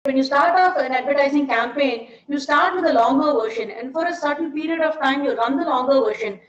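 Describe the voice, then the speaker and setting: A woman's voice: sits high, brisk. A middle-aged woman with an Indian accent explaining things in an informal online lecture, often posing questions to her listeners. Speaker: seminar speaker, ad film maker. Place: indoors, online seminar. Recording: dull, echoey online call with hiss.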